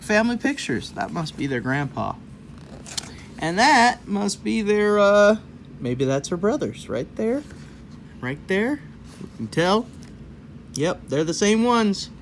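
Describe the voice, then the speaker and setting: A woman hosts a children's read-aloud in a lowish, very lively voice. She takes the story slowly and slips into chatty explanations for young listeners, with some faint noise behind her. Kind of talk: expressive storytelling with chatty asides.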